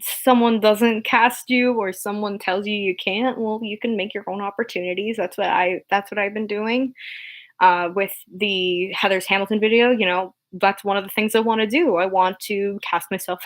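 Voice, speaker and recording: A woman's voice: steady tone, moderate pace. A young woman chatting casually on a livestream, rambling with many fillers and restarts, earnest and encouraging as she answers viewer questions. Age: young adult. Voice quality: low speaking voice. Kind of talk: casual unscripted chat on a livestream.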